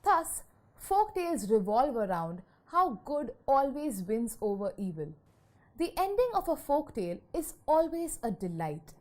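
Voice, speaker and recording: Casting: female narrator for kids' educational content, higher-pitched voice, very lively intonation, explains like a storyteller. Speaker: lesson narrator. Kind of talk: scripted educational narration for children.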